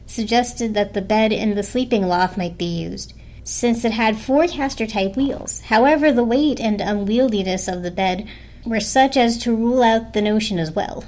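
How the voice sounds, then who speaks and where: A woman's voice: on the high side, normal speed, darkish tone. A woman narrating a children's story as a dramatic storybook reading. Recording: music behind the voice. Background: music.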